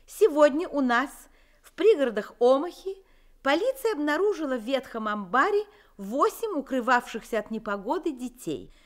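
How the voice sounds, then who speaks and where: An actress playing a formal 1930s radio news announcer. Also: female voice, sits high, very animated, normal speed.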